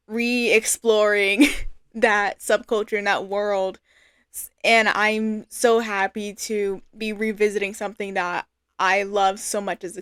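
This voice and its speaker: A woman's voice: medium pitch, fairly bright tone, smooth, dry recording, clean studio sound. A young adult woman giving an informal, earnest and reflective personal testimonial. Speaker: fan artist and creator.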